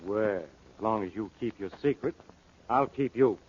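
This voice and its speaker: Man acting a gruff, exasperated police inspector, muffled sound. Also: lowish voice, measured pace, a little mellow, slight background noise, lightly acted. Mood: curt, suspicious. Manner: curt, blustering, sarcastic. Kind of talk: 1940s radio drama acting. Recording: muffled, band-limited 1940s radio recording.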